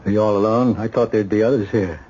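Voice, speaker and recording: An actor with an American accent playing an earnest, self-blaming police lieutenant, plain-spoken and sincere, in a radio drama. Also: male voice, on the low side, fairly even intonation, fast talker, noisy background, natural voice. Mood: subdued, apologetic. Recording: dull lo-fi old radio recording.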